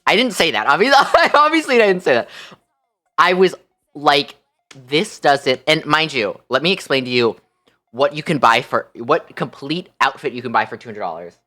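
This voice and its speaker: A woman livestream host telling a story casually, in an animated, incredulous, slangy way. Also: sits low, normal speed.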